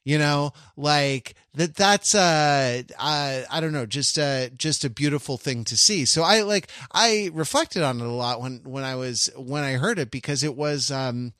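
A man co-hosting a podcast talks through his thoughts in relaxed chat, stopping and restarting as he searches for words. His voice sits at an ordinary pitch, recorded close and dry with no room around it. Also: clear, resonant tone, no echo. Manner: halting, full of restarts and fillers. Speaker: podcast co-host. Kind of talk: casual chat.

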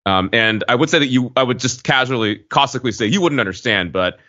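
Bright, punchy male podcast co-host. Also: medium pitch, animated, fast talker, no echo. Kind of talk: casual chat. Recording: dull, lo-fi audio.

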